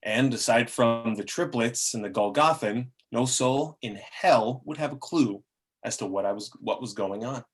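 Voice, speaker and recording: A man's voice: medium pitch, normal speed, close to an everyday voice. A man acts out a scheming demon with a mid-range male voice. He delivers grandiose, self-justifying villain speeches with bitter theatricality, in the loose style of a table read. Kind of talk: amateur table reading of a film script.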